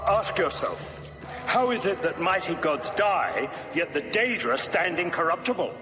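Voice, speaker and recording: An actor playing a zealous, grandiose cult-leader villain in a fantasy game, declaiming in a lofty, oratorical manner. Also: male voice, fairly high pitch, sing-song, unhurried, sharp tone, full character voice. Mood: zealous, preaching. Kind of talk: theatrical fantasy game monologue. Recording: echoey long reverb, music behind.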